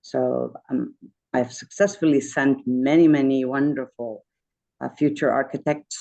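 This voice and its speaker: Gravelly, dark-voiced woman on a muffled call. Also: a bass voice, steady tone, unhurried. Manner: friendly, rambling, self-correcting. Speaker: architect and educator. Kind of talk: self-introduction in an online meeting. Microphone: video call. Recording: muffled video call audio.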